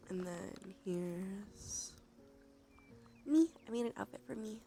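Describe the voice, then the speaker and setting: A woman hosting a casual ASMR livestream, chatting softly and narrating what she does, with a breathy voice that often slips into a whisper. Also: medium pitch, slow. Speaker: livestream host. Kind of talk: casual ASMR stream chat. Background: background music.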